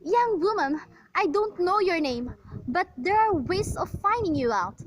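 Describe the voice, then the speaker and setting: A woman's voice: extremely high pitch, animated, measured pace, low-quality recording. A woman playing a nervous, demanding bedridden invalid in an amateur radio play, agitated and imperious, exclaiming and repeating herself. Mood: indignant.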